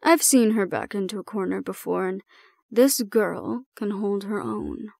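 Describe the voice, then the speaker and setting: A woman playing a composed, stern female supervisor in an audio roleplay, speaking in a measured, formal and deliberate way. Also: medium pitch, vocal fry, darkish tone, dry recording, clean studio sound. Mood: calm, formal. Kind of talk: scripted audio roleplay acting.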